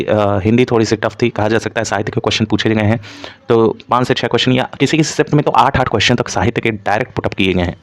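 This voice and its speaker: A man giving an informal analytical lecture as a coaching instructor, mixing in English terms and repeating points as he explains. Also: mid-range voice. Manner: Hindi mixed with frequent English terms.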